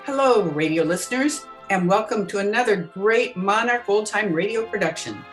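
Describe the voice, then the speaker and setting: A woman's voice: mid-range voice, lively intonation, moderate pace, soft background music. A woman hosting, reading a scripted introduction to a radio drama. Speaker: host.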